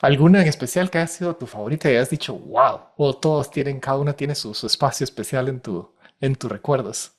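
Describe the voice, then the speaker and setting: A man's voice: mid-range voice, a little fast, few pauses. A man hosting an interview, who asks long, winding questions full of hypothetical quotes. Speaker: interviewer, host.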